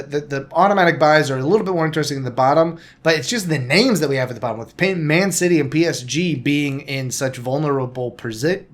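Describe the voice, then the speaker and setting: A man hosting a casual soccer podcast, rambling with frequent restarts and self-corrections. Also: fairly high pitch. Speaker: podcast host. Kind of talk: casual sports podcast chat.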